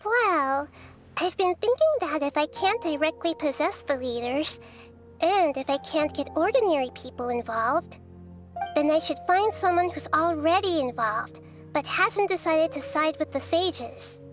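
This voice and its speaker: High-voiced gentle child goddess. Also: female voice, lively intonation, soft background music. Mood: thoughtful. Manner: measured, earnest, articulate. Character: small childlike goddess of wisdom, gentle, thoughtful and composed. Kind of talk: video game character acting.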